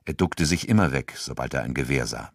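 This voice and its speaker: A man reading scripted literary narration as a narrator, measured and matter-of-fact. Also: extremely low pitch, animated, vocal fry, breathy edge, no echo, studio quality. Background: music.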